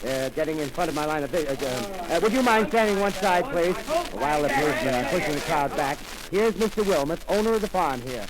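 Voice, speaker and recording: A man's voice: a little high, lively intonation, over a noisy crowd, close to an everyday voice. A man playing an eager radio field reporter. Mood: eager, breathless. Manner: eager, halting live description with many fillers. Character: excited male radio field reporter at a crash site. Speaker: radio commentator. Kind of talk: 1930s radio drama acting. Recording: noisy old radio broadcast recording.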